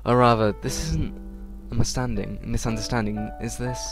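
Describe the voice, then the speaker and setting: A man hosting a let's play, reading the game's script aloud haltingly with occasional asides. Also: mid-range voice, fairly even intonation. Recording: game music under the voice. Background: music.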